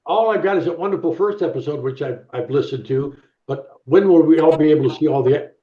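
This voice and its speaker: Higher-voiced man on dull, muffled audio. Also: quick pace. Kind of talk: casual chat.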